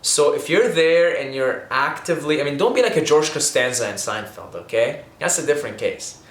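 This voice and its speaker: A man's voice: on the high side, expressive, moderate pace. Young man from Syria, casual vlog talk, rambling, earnest, frequent restarts, echoey room. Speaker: vlogger giving money tips.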